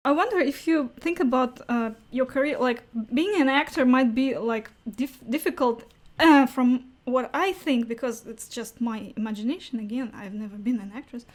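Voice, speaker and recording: A woman's voice: high voice, normal speed. A woman hosting a casual interview, phrasing her questions in a tentative, hesitant and appreciative way.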